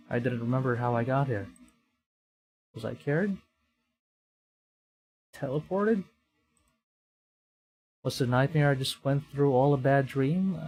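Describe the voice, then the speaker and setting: A man's voice: medium pitch, a little mellow, rough recording. A man streaming a horror visual novel, reading it aloud with live reactions and acting out the dialogue dramatically.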